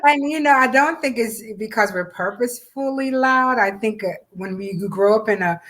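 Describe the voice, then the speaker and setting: A woman's voice: on the high side, normal speed, fairly bright tone. A woman co-hosting a casual chat about an event, talking in a chatty, encouraging way. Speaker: co-host.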